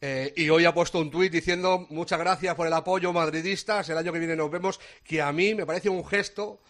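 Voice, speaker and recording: A man playing a sports radio pundit with a Spain Spanish accent. He speaks in a slightly high voice, keeps a conversational tone, and trails off into fillers and restarts while he works out his point. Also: steady tone, fairly quick, fairly bright tone. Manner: conversational, hesitant with many fillers. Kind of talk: radio panel discussion.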